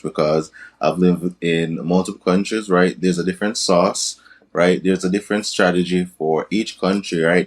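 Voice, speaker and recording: A young man speaking as a podcast guest in a casual interview, often tagging his sentences with "right?". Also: sits low. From Jamaica. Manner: tags many sentences with "right?". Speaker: guest, crypto and business coach.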